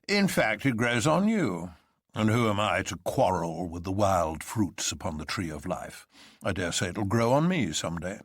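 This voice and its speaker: A man voicing a huge, enigmatic president in a radio drama, smooth, teasing and grandiloquent. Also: deep voice, big pitch swings, moderate pace, no echo, heavily acted. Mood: mocking. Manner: smooth, mock-genial, grandiloquent.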